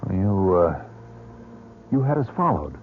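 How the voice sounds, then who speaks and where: An actor playing a weary, shaken male gambler who speaks hesitantly and quietly in a 1970s radio drama. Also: low-pitched, big pitch swings, slow, full character voice. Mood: subdued. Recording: distant, tinny, noisy radio recording.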